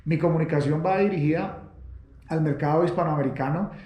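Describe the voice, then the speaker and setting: Man, from Latin America, interview host, warm relaxed tone, halting with fillers, echoey room. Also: a little high. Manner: sincere, halting, with many fillers. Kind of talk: interview conversation. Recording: roomy echo.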